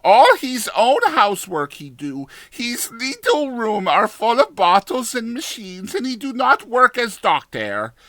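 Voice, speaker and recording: An excitable Spanish landlady, acted out by a male narrator, pitched very high and pushed forward with force. She gossips and exclaims in broken, Spanish-accented English. It is a dramatized audiobook character with a loud, insistent delivery. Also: unhurried, few pauses, no echo, heavily acted. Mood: agitated, gossiping.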